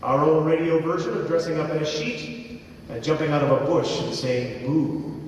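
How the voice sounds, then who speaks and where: High-pitched male announcer in an echoey theatre. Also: fairly even intonation, normal speed, a little mellow, slight background noise. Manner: grand, declamatory, showmanlike. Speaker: actor-announcer hosting a live radio play. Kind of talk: theatrical old-time radio announcing. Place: large theatre, live stage performance. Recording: echoey theatre from far, music and crowd.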